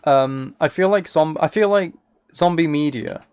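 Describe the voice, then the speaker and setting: A man's voice: a little high, a little slow, a little mellow, smooth. A man chatting casually as a live streamer, thinking aloud while he plays a game. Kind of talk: casual gaming livestream chat.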